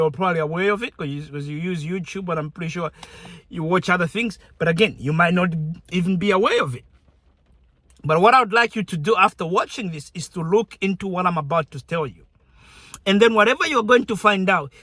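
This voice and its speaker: A man's voice: high voice, sharp tone, dry recording, studio quality. A young man from Zambia talking casually to his viewers, chatty and conversational, often restarting his sentences. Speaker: YouTuber. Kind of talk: casual direct-to-camera talk.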